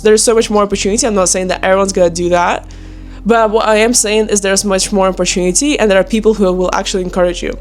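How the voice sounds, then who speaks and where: A young woman with a Ukrainian accent chatting casually and motivationally to viewers, in an informal, emphatic, encouraging manner. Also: mid-range voice. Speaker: online video creator and sales closer. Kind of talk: casual motivational chat to camera.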